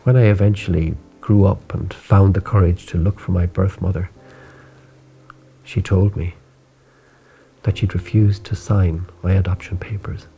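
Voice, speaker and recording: An actor playing a sober adopted man, giving a subdued, measured account in a dramatised testimony. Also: low voice, mellow. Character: sober adopted man recounting search for birth mother. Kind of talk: dramatised first-person testimony. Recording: faint music behind.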